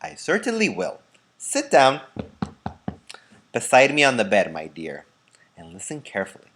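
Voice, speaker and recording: A man's voice: mid-range voice, wildly swooping pitch, moderate pace, a bit bright, slight background noise, voice pushed up. A man voicing a very old grandfather in a children's storybook, eager and boastful, speaking in emphatic exclamations. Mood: eager, astonished. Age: nineties. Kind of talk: children's storybook read-aloud.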